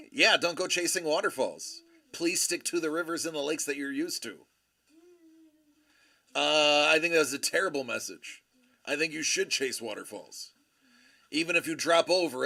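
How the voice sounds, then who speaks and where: Man, stream host, casual rambling chat, joking storyteller, lively pitch, gravelly edge. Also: no room sound. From Buffalo area, USA. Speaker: host of a live card break stream.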